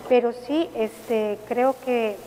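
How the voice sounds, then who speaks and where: A woman archaeologist speaking as a panelist, thoughtful and pausing often. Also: a little high, fairly even intonation, warm tone. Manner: thoughtful, frequent hesitations. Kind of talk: conference discussion. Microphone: video call. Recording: reverberant room, faint noise.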